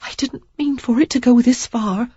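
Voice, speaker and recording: A woman's voice: a little high, normal speed, warm tone, breathy edge, no echo, lo-fi sound, voice pushed up. A woman voicing an anxious young woman who pleads and apologizes in a breathless, babbling way. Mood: apologetic.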